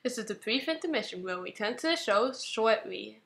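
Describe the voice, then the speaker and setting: High-voiced woman, informal and self-critical. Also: animated, moderate pace, creaky, a bit bright. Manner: self-critical, stumbling over lines. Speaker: amateur comic dub voice actor. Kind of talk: reading comments aloud, informal comic dub.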